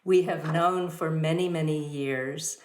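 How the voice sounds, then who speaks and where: A woman hosting a studio talk, introducing her guest with many fillers and pauses. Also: deep voice, steady tone, a little mellow, reverberant, a little hiss or hum. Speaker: host of a yoga studio talk.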